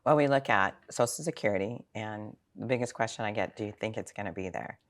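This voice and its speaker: A woman's voice: rumbling low, brisk. A sixty-year-old woman speaking as a financial planner in an interview, explaining things informally with examples and rhetorical questions. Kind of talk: conversational interview answers.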